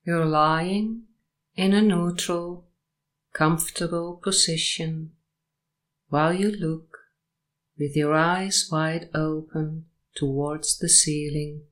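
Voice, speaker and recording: A woman guiding a sleep meditation and hypnosis session in short, measured, soothing phrases with long pauses between them. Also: low-pitched, fairly even intonation.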